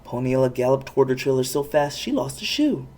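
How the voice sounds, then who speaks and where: A parent reading a children's storybook aloud with expressive narration. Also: mid-range voice, a little fast.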